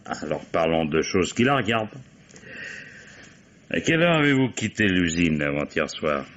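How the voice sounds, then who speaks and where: Deep-voiced police commissioner: courteous, hesitant, insinuating, old French radio-mystery style. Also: male voice, big pitch swings, moderate pace, a bit bright, dull audio. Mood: politely probing. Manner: polite, hesitant, insinuating questioning. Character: male police commissioner, politely probing, wily and dogged.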